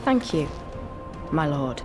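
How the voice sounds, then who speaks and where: A woman's voice: low-pitched, big pitch swings, slow, over music, heavily acted. A woman playing an intense fighter in a fantasy war video game, in dramatic style. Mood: deferential, guarded.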